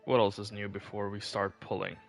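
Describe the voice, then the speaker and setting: A man's voice: lowish voice, flat delivery, a little fast, gravelly, soft background music. A man streaming a video game, chatting casually and thinking aloud with questions to himself. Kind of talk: casual gameplay commentary.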